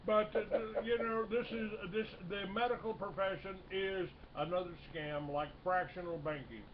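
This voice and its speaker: A man in his sixties hosting a talk radio show, rambling with halting restarts and lots of fillers. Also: sits high, steady tone. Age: 60s. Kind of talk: casual talk radio chat. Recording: dull lo-fi broadcast with faint hiss.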